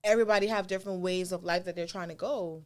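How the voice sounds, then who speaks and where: A woman's voice: on the low side, fairly even intonation, quick pace. A young woman with a Caribbean accent, chatting casually as a podcast co-host in a blunt, emphatic, slangy way. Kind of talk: casual chat.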